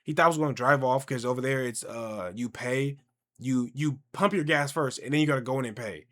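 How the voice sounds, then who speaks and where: A young man hosting a casual reaction commentary, talking in an animated, conversational, slangy way. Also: medium pitch, a little fast. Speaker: reaction video host.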